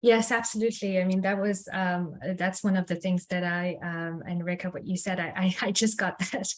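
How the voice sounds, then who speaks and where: A woman from France, a panelist, joining a panel discussion eagerly and quick to agree. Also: fairly low pitch, steady tone.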